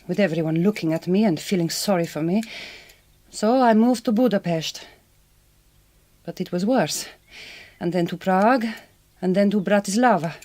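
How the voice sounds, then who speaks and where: An actress playing a direct, kind but quietly wounded Hungarian migrant farm worker in a radio drama, with a Hungarian accent and careful, reassuring speech. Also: female voice, fairly low pitch, no echo, close to an everyday voice. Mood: sad, confiding.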